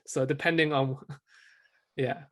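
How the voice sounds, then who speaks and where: Hesitant, soft-spoken man as a guest. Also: medium pitch, fairly even intonation, slow pace. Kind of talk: casual discussion.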